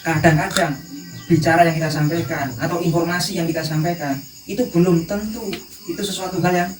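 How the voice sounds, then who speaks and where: Man, thirties, Javanese accent, halting phrasing, informal discussion, echoey room sound, insects behind. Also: a little high, steady tone, soft background music. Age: 30s. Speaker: discussion guest. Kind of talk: informal panel talk. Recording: distant, echoey, noisy recording with insects.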